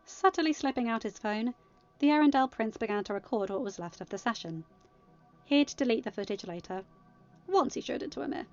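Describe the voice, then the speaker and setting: A woman's voice: a little high, expressive, brisk, highs cut off. A woman reading scripted fiction as a story narrator. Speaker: podfic narrator. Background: music.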